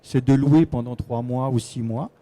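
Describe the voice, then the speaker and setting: Dark-voiced man with a Swiss French accent. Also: mid-range voice, fairly even intonation, normal speed, dry recording. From Switzerland. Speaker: guest expert on relocating to Portugal. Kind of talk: explanatory live conference interview.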